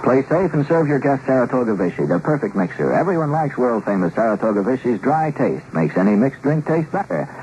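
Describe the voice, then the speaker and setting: A man's voice: mid-range voice, sing-song, a little fast, hardly any pauses, a little mellow. A man reading a scripted 1960s radio commercial as a station announcer. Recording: slightly noisy 1960s radio recording.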